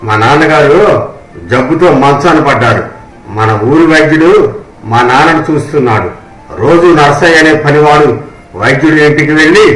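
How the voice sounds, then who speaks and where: A man's voice: fairly high pitch, a bit bright, close to an everyday voice. A man playing a wealthy, miserly village landowner in a moral story, cunning, bossy and wheedling. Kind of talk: scripted moral story dialogue. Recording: roomy echo on the voice.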